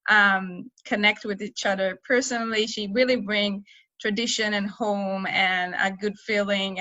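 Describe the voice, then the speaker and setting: An Israeli woman with a bright, ringing voice speaks appreciatively, her English a little broken. The sound is dry and close, as on a video call. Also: medium pitch, dry recording. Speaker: event participant. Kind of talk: casual sharing on a video call.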